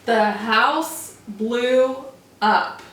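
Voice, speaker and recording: Woman teacher, storybook read-aloud, fairly high voice, distant from mic, faint room hum. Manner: slow, expressive, drawn-out emphasis. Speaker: first-grade teacher. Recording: roomy echo, from far off.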